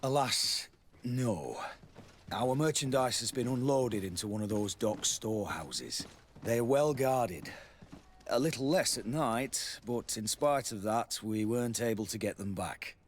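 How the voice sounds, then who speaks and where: An actor playing a worldly, chatty army quartermaster in a video game, a man with a husky voice. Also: medium pitch, animated, measured pace, slight background noise, lightly acted. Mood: matter-of-fact. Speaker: military quartermaster.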